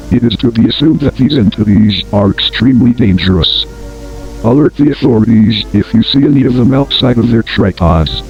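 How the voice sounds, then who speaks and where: Dull old tape sound, man playing a grave male emergency announcer, mid-pitched, formal, measured, deadpan, analog horror narration. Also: natural voice.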